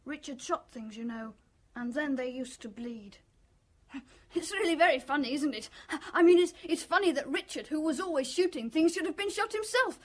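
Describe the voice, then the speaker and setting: An actor playing an excitable, childlike young man with a naive, eager, morbid fascination, in a radio drama. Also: high voice, moderate pace. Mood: eager, excited. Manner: eager, naive, giggly, repeats questions back.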